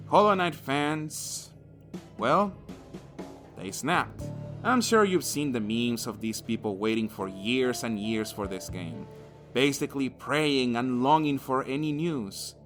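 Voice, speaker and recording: A man's voice: mid-range voice, a bit bright. A man narrating an opinionated video game review in a crude, sardonic way, swearing freely.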